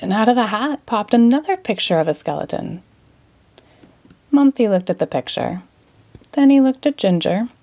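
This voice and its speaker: A woman narrating a children's storybook aloud in an expressive read-aloud style. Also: mid-range voice, moderate pace, long pauses. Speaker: storybook reader.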